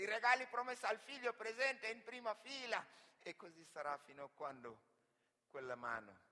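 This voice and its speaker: A man from Senegal, an actor and griot, delivering a dramatic, deliberate stage monologue with weighty pauses. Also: a little high, sharp tone. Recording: echoey hall with long reverb.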